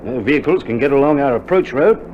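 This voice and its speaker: A man's voice: mid-range voice, expressive, fast, close to an everyday voice. An actor playing a wry, reassuring Australian army male nurse in a wartime radio drama, with laconic, joking banter, heard on an old vinyl record. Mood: chatty, wry. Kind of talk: 1960s radio drama acting. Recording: old vinyl recording, dull band, faint noise.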